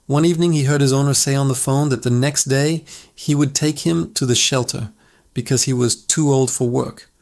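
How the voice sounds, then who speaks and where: A man reading a children's fable aloud in calm, even scripted narration. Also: medium pitch, normal speed, vocal fry.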